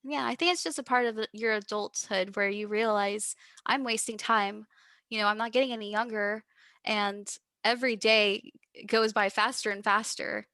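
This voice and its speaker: A young woman with a Texas accent, a musician chatting as a guest in a relaxed interview, bubbly and giggly. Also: mid-range voice, moderate pace, no room sound. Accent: Texan. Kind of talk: casual interview chat.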